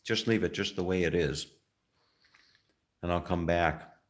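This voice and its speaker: A man from the United States with an extremely low, deep voice, talking loosely to the camera as a travel vlogger. He rambles, halts and restarts, filling gaps with "uh" and "you know". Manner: rambling, halting, many fillers and restarts. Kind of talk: casual vlog talk.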